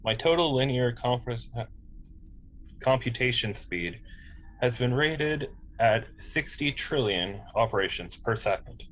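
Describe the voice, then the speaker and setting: Man playing a polite android officer, swooping pitch. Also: lively intonation, a little slow, a bit bright, a little hiss or hum, low-quality recording. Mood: factual, precise. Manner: formal, precise wording. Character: polite, literal male android officer, precise and unemotional. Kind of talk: amateur table read of a sci-fi script.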